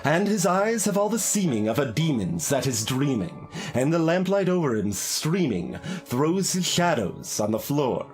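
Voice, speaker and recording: A man who streams games, speaking or reciting in an expressive performance for his audience. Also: a little high, unhurried, few pauses. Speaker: game streamer. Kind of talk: casual live playthrough commentary; dramatic poetry recital. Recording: music behind the voice.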